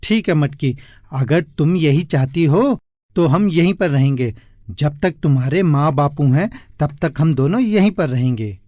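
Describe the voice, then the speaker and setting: Aged village father, played by a man: gentle, persuasive, warm dark tone, close dry sound, for storybook cartoon. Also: a little high, measured pace, dry recording, natural voice. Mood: gentle, resigned. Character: gentle old village father advising his daughter. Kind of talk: Hindi moral-story cartoon dialogue.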